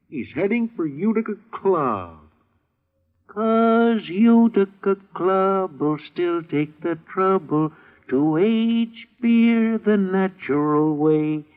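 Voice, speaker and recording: A man's voice: high-pitched, sing-song, moderate pace. An actor playing a pompous, dopey cartoonish man in an old radio comedy commercial. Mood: dreamy, astonished. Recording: tinny old radio recording.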